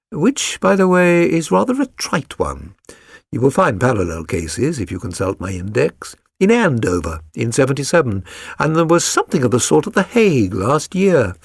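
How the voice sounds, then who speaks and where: A man's voice: medium pitch, breathy edge, dry recording, clean studio sound, full character voice. A man voicing a keen, self-assured detective for an audiobook, with a British accent and a precise, lecturing, condescending manner. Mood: languid, musing. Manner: precise, didactic, theatrical. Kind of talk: dramatised audiobook reading.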